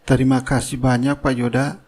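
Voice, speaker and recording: A man's voice: mid-range voice, little pitch movement, moderate pace, darkish tone, close to an everyday voice. A man playing a scheming young worker in a cartoon drama, who puts on a meek, innocent manner over his slyness. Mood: meek, deferential. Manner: deferential aloud, sly in private. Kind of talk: cartoon drama dialogue and inner thoughts.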